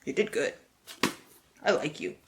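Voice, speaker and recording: A woman's voice: unhurried, slight background noise. A woman book vlogger who mixes casual, slangy chat with mock-dramatic blurb reading and playful, sweary asides to herself.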